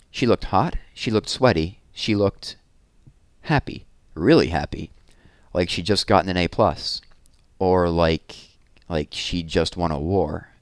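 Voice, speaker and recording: Low-voiced man reading a children's book aloud. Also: expressive, measured pace, dry recording, clean studio sound. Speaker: read-aloud narrator. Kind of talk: expressive children's chapter book read-aloud.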